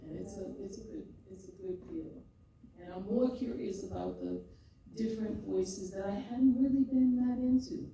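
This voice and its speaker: An older woman, a poet and podcaster, telling her story in an expressive, rhythmic way and often laughing, before a live audience. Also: mid-range voice, moderate pace, slight background noise. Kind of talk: reflective conversational storytelling. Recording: echoey hall, mic far off.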